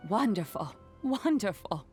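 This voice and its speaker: Game granny: elderly, kindly, wistful, slow delivery with animated pitch, soft music underneath. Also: female voice. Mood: gentle, relieved. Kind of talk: video game voice acting.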